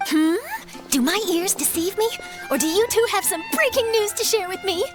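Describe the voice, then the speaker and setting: A woman's voice: very high-pitched, animated, normal speed. A woman voicing a perky, keen young news reporter in a video game, chirpy and theatrical. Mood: sly, intrigued. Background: music.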